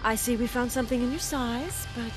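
A woman playing a cold, commanding middle-aged villain in a video game, measured and menacing. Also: fairly high pitch, close to an everyday voice. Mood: cold menace. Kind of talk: cinematic game dialogue. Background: loud dramatic music.

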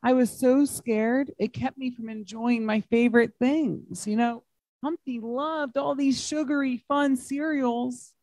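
A man's voice: extremely high pitch, steady tone, a little slow, darkish tone, no echo. A man reading a picture book aloud to children as a guest reader, expressively, with simple emphatic phrasing. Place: large glass-walled library room.

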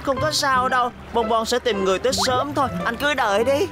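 Woman doing a boyish little cartoon bus, always protesting, high voice, loud music behind. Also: fairly quick, natural voice. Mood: insistent. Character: earnest, stubborn young boy bus, quick to protest. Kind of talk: Vietnamese cartoon dub.

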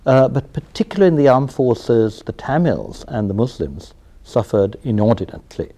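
Sri male host, expressive pitch, mellow tone; long studio questions. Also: normal speed. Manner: animated, questioning, with hesitant fillers. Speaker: interview host. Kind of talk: formal studio interview. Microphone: lapel.

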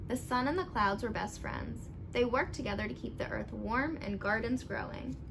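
A young woman reading a picture book aloud expressively, with animated, deliberate emphasis for young listeners. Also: on the high side. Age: young adult. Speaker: storybook reader. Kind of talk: expressive children's read-aloud. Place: living room at home. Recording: clearly noisy home recording.

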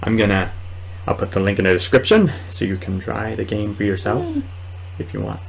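A man's voice: on the low side, fairly quick. A man in his twenties doing casual let's play commentary, rambling and muttering hesitantly to himself in a room at home. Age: 20s. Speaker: gamer, video host. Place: small room at home, indoors. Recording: clearly noisy home recording.

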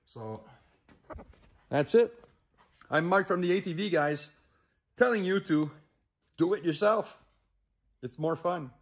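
A man's voice: high-pitched, animated, takes it slowly, pauses often. A man in his fifties hosting a casual how-to shop vlog, talking in a rambling way with many restarts and fillers, filmed on a handheld phone camera in a garage workshop. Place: indoor garage workshop with ATVs.

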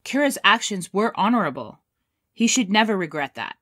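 A woman's voice: medium pitch, big pitch swings, normal speed, a bit bright. A woman playing a firm, loyal colonel in an audio drama.

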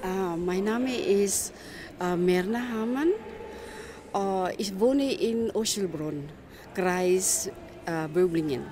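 A woman's voice: mid-range voice, takes it slowly, background noise. A woman in her sixties from the Philippines with a Filipino accent, answering interview questions hesitantly with many fillers. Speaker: community president.

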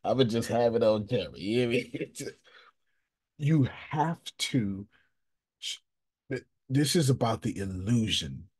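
A man hosting a casual coaching call over video, animated and emphatic, with slangy, repetitive phrasing. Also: medium pitch, measured pace, pauses often, husky. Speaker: business coach and host.

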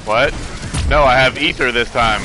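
A man's voice: medium pitch, moderate pace, music in the background. A man playing a multiplayer game as a teammate, chatting casually with questions and complaints. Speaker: gamer, teammate. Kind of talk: casual gaming chat.

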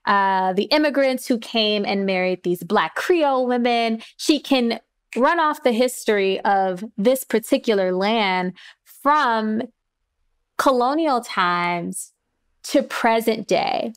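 A woman speaking as a playwright guest in a reflective discussion, with deliberate, thoughtful phrasing and pauses. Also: on the high side, lively intonation, clean tone.

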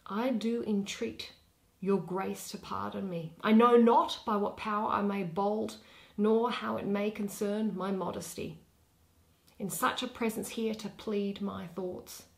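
A woman's voice: mid-range voice, steady tone, measured pace, creaky. A woman voicing an earnest young daughter, respectfully defiant, pleading carefully in Shakespearean verse.

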